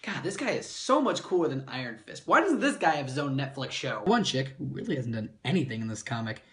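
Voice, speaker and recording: Sarcastic man reviewing with animated pitch. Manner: sarcastic, mildly profane. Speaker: comic book reviewer and host. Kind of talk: scripted comedic review narration.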